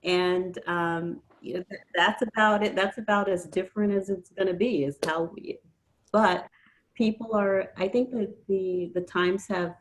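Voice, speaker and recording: A woman speaking as an interview guest, thinking aloud hesitantly with many "um" pauses. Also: medium pitch, steady tone, a little hiss or hum, low-quality recording. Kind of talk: conversational interview.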